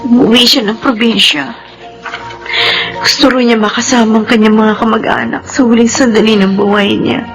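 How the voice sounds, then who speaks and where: A woman's voice: a little high, flat delivery, normal speed, music in the background, lightly acted, poor audio. A Filipino actress playing a distraught young woman who pleads tearfully with her fiancé, in a melodramatic radio drama. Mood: bitter grief. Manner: tearful, pleading, emotional outbursts.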